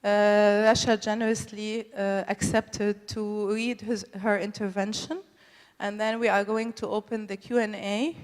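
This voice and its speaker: A woman hosting a public event, speaking off the cuff in a halting, hesitant way. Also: medium pitch. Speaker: event host introducing a speaker. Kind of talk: unscripted spoken introduction at a public event. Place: conference hall, public event. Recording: echoey hall with long reverb.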